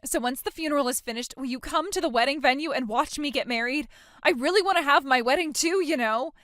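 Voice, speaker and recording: Woman acting high, whiny, entitled bride. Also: quick pace, a bit bright, dry recording, studio quality. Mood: petulant, pleading. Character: self-centered entitled young bride, whiny and oblivious. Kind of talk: dramatized phone-call story dialogue.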